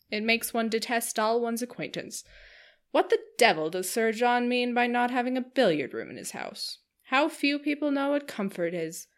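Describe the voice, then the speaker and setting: A woman's voice: a little high, close to an everyday voice. A sour, contrary husband from a period storybook, as a woman reader puts him on, grumbling and scornful of everyone around him. The voice stays close to the reader's own. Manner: grumbling, disdainful.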